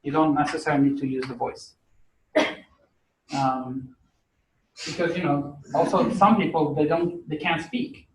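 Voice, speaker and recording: A man's voice: a little high, steady tone, unhurried, pauses often, a little hiss or hum. A man from Portugal with a Portuguese accent, giving a casual, chatty university lecture with many fillers. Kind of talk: classroom lecture. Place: lecture hall. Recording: echoey lecture hall recording.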